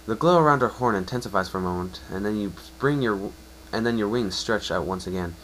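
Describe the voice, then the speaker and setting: A man's voice: fairly low pitch, a bit bright, slight background noise, low-quality recording. A man narrating a fan story as an amateur reader, often stumbling and correcting himself. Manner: halting, self-correcting delivery.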